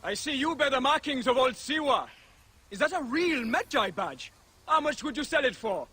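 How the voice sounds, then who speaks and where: Pushy game merchant: high-pitched, bright, forceful, coaxing sales patter. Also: male voice, lively intonation, normal speed, slight background noise, exaggerated voice. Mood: eager, coaxing. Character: eager, pushy male street trader of curios. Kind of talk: video game character acting.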